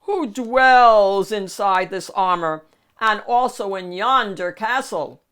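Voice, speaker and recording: A bold, boastful ogre from a picture book, put on by a woman reader. He bellows his lines in a declamatory way, loud and slow, with gleeful repulsiveness. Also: mid-range voice, clean tone. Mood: commanding. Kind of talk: storybook character voice.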